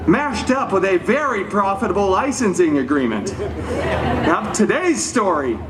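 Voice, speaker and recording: A man's voice: high-pitched, sing-song, a little slow, background noise. A man playing a wisecracking, irreverent superhero who tells a story live, with sarcastic, theatrical delivery and self-mocking asides. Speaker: storyteller at a theme park show. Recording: live show audio, music and crowd behind.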